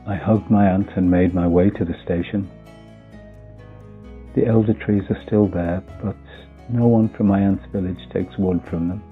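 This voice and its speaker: A man's voice: sits low, fairly even intonation, unhurried. A man reading a scripted first-person story as its narrator. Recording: muffled phone-like audio with faint music. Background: faint music.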